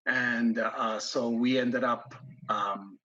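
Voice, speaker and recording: A man in his fifties who grew up in Iran, telling his story as an interview guest in a halting way, with many pauses and restarts. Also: medium pitch, steady tone, sharp tone, highs cut off. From grew up in Iran, born in the US. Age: 50s. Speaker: guest investor.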